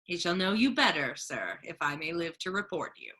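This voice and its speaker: A woman's voice: fairly low pitch, sing-song, normal speed, sharp tone. A woman acting a dignified, indignant friar in a staged Shakespeare reading, speaking in a formal, righteous manner. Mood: stern. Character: Duke disguised as a male friar, dignified, indignant.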